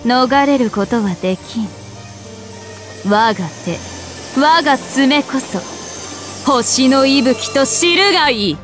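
Young spirit princess, anime game style, regal, formal, higher-pitched, music underneath. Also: female voice, lively intonation. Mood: imperious, solemn. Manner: formal, measured, elegant. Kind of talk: scripted anime game voice lines. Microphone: studio microphone. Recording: music behind a close dry studio voice.

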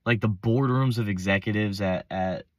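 A young man with a deep, low voice, a little hoarse as he gets over a cold. He rambles in loose, slangy chat, cutting himself off and starting over. The sound is close and dead, like a phone held up in a parked car. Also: normal speed, no room sound. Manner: rambling, self-interrupting, casual slang. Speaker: vlogger. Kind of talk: unscripted casual monologue. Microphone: phone front-facing selfie camera.

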